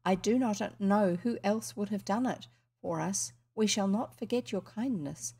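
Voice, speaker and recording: A woman's voice: mid-range voice, unhurried, dark tone, husky. A woman voicing a polite, grateful grown daughter who speaks formally.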